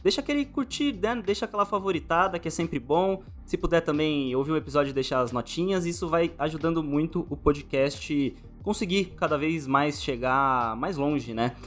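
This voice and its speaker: A man's voice: fairly high pitch, normal speed, few pauses, a bit bright, faint music behind. A Brazilian man hosting a podcast interview in an informal, chatty, slangy way.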